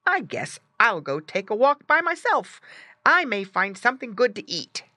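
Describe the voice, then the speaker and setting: A woman's voice: on the high side, very animated, moderate pace, bright, forceful tone, no room sound, voice pushed up, exaggerated voice. A woman voicing a small, comical, good-natured pig in a children's story, with squeals and grunts. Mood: curious.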